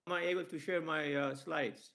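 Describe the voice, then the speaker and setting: A man speaking haltingly as a professor giving formal remarks as a conference discussant. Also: on the high side, fairly even intonation, gravelly, sharp tone. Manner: halting, hesitant delivery.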